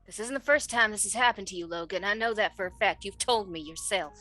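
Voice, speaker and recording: A woman's voice: medium pitch, fast talker, sharp tone, soft background music, natural voice. A woman voicing a wry, teasing but caring female superhero in a tabletop roleplaying game, speaking as an old friend. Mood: wry, reassuring. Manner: wry, reassuring.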